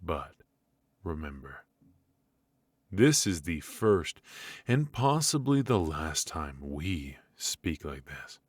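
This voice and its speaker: A man playing a suave, menacing mafia boss in a noir ASMR roleplay, measured and mock-courteous, with a husky voice. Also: a bass voice, very animated, no room sound, exaggerated voice. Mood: smug, menacing. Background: faint music.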